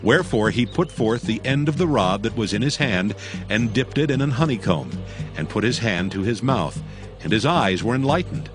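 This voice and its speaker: A man narrating a dramatized Bible in a solemn, measured, scripted style. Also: lowish voice, animated. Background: music.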